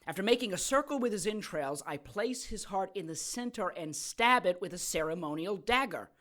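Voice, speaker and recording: A man's voice: sits high, lively intonation, normal speed, fairly bright tone. Sardonic, cold-blooded villain played by a man. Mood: impatient, sinister. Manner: dry, sarcastic. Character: sardonic, cold-blooded male ritual killer. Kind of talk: audio drama villain acting.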